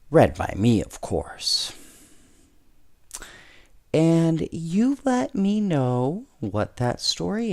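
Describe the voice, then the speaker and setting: Slow-paced man reading a bedtime story. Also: medium pitch, vocal fry.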